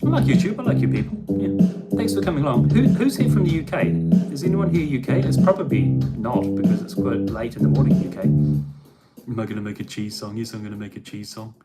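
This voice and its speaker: A man's voice: fairly low pitch, sing-song, fast talker, creaky, poor audio. A man from New Zealand hosting a music livestream, chatting casually and answering viewer questions with frequent ums and restarts.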